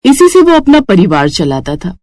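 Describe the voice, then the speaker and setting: A woman's voice: mid-range voice, very animated, normal speed, darkish tone, dry recording, clean studio sound. A woman storyteller reading a scripted moral story for children.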